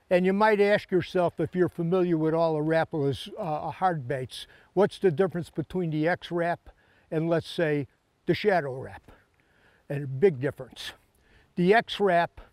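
Higher-pitched older man, folksy fishing host. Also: darkish tone, dry recording. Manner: folksy, emphatic repetition. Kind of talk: casual fishing commentary and lure talk. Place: outdoors on an open fishing boat on a river.

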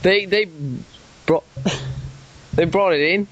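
A man's voice: fairly high pitch, moderate pace. A man with an Australian accent, rambling and stammering through a prank phone call, swearing when he gets worked up. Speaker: prank caller. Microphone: telephone.

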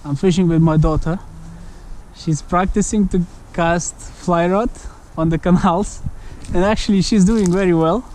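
A man vlogging his fishing outdoors from a head-mounted camera, speaking non-native English, calm with bursts of excitement. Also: sits high, measured pace, slight background noise, poor audio. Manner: talks to viewers, calm, then bursts of excited exclamations. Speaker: angler filming his fishing trip. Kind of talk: casual outdoor fishing vlog. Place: outdoors on a canal towpath. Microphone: head-mounted action camera.

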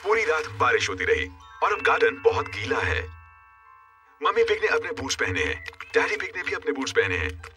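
A cheerful children's cartoon storyteller, with a highish voice that swoops up and down with great animation. The narration is scripted and friendly for little listeners, with clear music behind it. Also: male voice, big pitch swings, a little fast, pushed and bright, far from the mic. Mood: bright storytelling.

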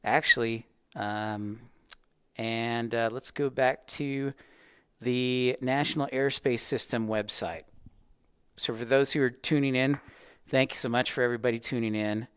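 Clear-voiced man chatting on a livestream. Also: mid-range voice, steady tone, unhurried, a bit bright, no room sound. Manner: chatty, rambling, addressing viewers by name. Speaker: livestream host, aviation educator. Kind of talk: casual livestream chat with viewers.

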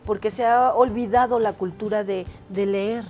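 A woman's voice: mid-range voice, steady tone, normal speed, warm tone, soft background music. A woman hosting a TV studio interview on a lapel mic, chatty and direct with her questions. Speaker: talk show host. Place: TV studio set.

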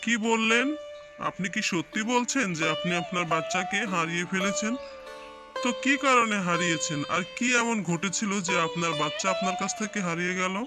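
A devoted fox dad from a cartoon, acted by a man, speaks in a high, emotive voice. He sounds affectionate and pleading, with music playing underneath. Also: a little fast, lightly acted. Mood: curious, questioning. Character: tender, devoted fox father, anxious to please. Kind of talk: cartoon voice acting.

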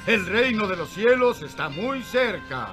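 A man playing a fervent desert prophet in an animated Bible film, declaiming commands like a preacher. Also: high voice, unhurried, faint music behind, full character voice. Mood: fervent, commanding.

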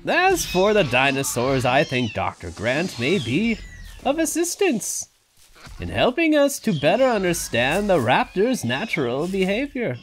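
An excitable elderly park owner with a grandfatherly air, as a man acts him out. His pitch swoops all over the place, and he draws his words out slowly. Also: slight background noise, full character voice. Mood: persuasive. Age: older. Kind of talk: fan audio drama acting.

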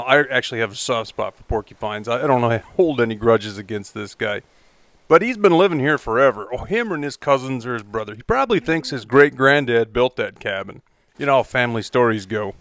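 A man's voice: medium pitch, a bit bright. A middle-aged man narrating a casual vlog in an easygoing, wry, matter-of-fact way, speaking outdoors in the woods. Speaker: vlogger. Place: outdoors in a pine forest.

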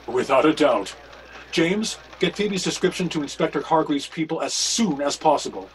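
A man's voice: fairly high pitch, expressive, moderate pace, natural voice. A man in his sixties playing a grave, urgent reverend detective in a radio mystery. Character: grave, urgent reverend sleuth, worried husband. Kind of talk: radio mystery play acting.

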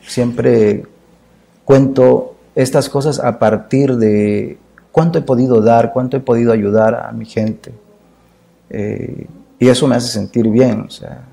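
A Mexican man in his fifties, a poet and chronicler, speaking in a measured, earnest way as an interview guest in a dark studio. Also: medium pitch, vocal fry. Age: 50s. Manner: measured, earnest, lists ideas in phrases. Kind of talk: reflective interview talk.

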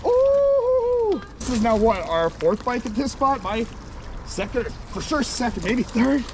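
A man chatting casually and excitedly with his magnet fishing partner outdoors by a creek. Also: very high voice, measured pace, crowd noise. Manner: excitable, enthusiastic exclamations. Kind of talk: casual banter.

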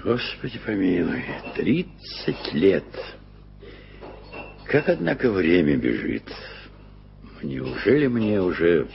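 An actor playing an older, fussy gentleman who muses aloud to himself. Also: deep voice, big pitch swings, slow, gravelly, pauses often, background noise, full character voice. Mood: musing. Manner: musing, fussy, talks to himself. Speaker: actor in a radio play. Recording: dull old radio-play tape.

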